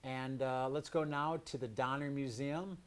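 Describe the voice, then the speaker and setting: A man with a medium-pitched voice hosts a live community radio and TV show. He talks in a halting manner, pausing as he frames his segments and introductions. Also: steady tone. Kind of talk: hosting a live community broadcast.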